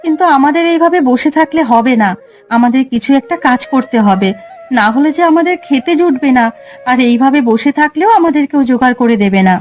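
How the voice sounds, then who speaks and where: A woman's voice: high voice, animated, brisk, darkish tone, soft background music. A woman playing a practical, caring village wife in a Bengali cartoon story, with an earnest manner. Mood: concerned.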